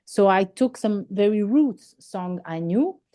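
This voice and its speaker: An Italian-accented woman with a fairly high but soft, mellow tone talks through her ideas in a relaxed interview. She often pauses to hunt for the right English word, restarts phrases, and checks in with a questioning "no?" at the end of her sentences. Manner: searching for words, tags sentences with "no?". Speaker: singer and guest. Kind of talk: conversational interview answers.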